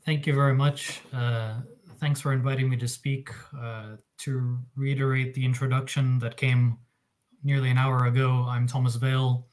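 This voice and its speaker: A man, a native Russian speaker and arbitration lawyer, giving a measured, articulate panel talk over a video call. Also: mid-range voice, level tone, a little mellow. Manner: measured, articulate with light fillers. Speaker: arbitration lawyer, founder of a disputes practice.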